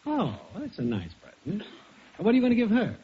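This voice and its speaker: A man's voice: high-pitched, wildly swooping pitch, brisk, husky, exaggerated voice. An American man playing a boastful, needling neighbor in a 1940s radio sitcom. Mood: teasing. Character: teasing, boastful male neighbor and friend. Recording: dull, noisy 1940s radio broadcast.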